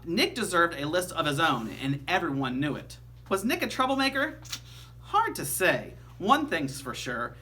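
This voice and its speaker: A man reads a children's book aloud in an expressive, emphatic way, as a teacher would to pupils. His voice is bright and forceful, recorded with faint noise and a hum. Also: fairly high pitch. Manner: animated, emphatic storytelling.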